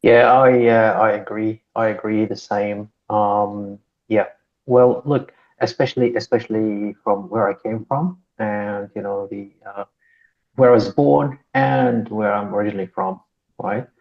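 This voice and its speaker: A man's voice: lowish voice, fairly even intonation, a little slow, dull audio. A man from Syria, co-hosting a podcast chat and speaking thoughtfully with many fillers and tag questions. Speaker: podcast co-host. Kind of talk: casual panel discussion.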